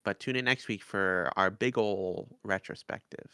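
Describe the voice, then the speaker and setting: A man's voice: low-pitched, a little slow, bright, forceful tone, smooth, no room sound, studio quality. A man co-hosting a podcast, talking casually as he reviews and rates stories. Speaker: podcast co-host.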